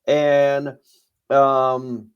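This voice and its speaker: A man's voice: medium pitch, little pitch movement, extremely slow, clean tone, lo-fi sound. A young man co-hosting a podcast, talking in an easygoing, emphatic way and laughing along. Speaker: podcast co-host. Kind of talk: casual podcast chat and review.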